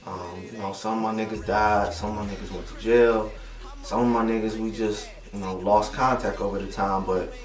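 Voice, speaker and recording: Young man, low and relaxed, recorded distant and echoey. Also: moderate pace. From Detroit, USA. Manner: laid-back, slangy, fills talk with "you know what I mean". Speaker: interviewed rapper. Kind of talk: casual interview. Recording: roomy, distant mic, music behind.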